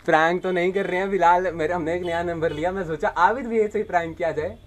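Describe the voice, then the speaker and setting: A man's voice: sits high, fairly even intonation, fast talker. A man, chatting casually and playfully to the camera as a vlogger, addressing viewers directly. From India. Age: 20s. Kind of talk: casual vlog chat to camera.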